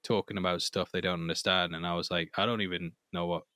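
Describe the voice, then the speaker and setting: A man hosting a podcast, cracking deadpan jokes in casual chat. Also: low voice, fast, no echo, studio quality. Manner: deadpan joking. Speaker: podcast host.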